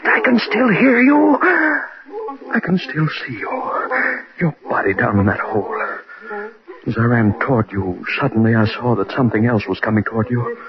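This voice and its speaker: A man's voice: on the low side, vocal fry, exaggerated voice. A man acting an arrogant middle-aged husband in a theatrical old-time radio drama, domineering and intense. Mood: frantic. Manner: domineering, theatrical, clipped phrasing. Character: arrogant, scornful husband unravelling into terror. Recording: muffled old radio recording, crowd-like noise.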